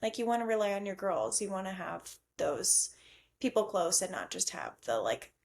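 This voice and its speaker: A woman's voice: medium pitch, big pitch swings, normal speed, creaky. A young adult woman hosting a casual podcast chat, talking in a gushing, slangy way peppered with "like". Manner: gushing, slangy, heavy use of "like". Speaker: podcast host.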